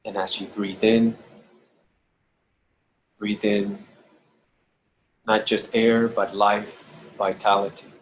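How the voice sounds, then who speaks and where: A man with a fairly low voice guides a meditation over noticeable background noise. He speaks slowly and deliberately in short phrases with pauses between them. His delivery is gentle and instructional. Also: little pitch movement, poor audio. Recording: clearly audible background noise.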